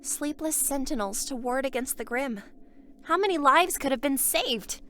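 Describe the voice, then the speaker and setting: A woman's voice: high-pitched, expressive, normal speed, a bit bright. A woman voicing a refined, haughty, scholarly young woman in an anime fandub, speaking with formal, precise diction. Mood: thoughtful, grave.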